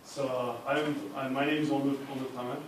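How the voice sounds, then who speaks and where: A man with a Turkish accent, a judge giving a friendly, slightly halting self-introduction. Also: medium pitch, level tone, background noise. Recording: roomy echo from far off.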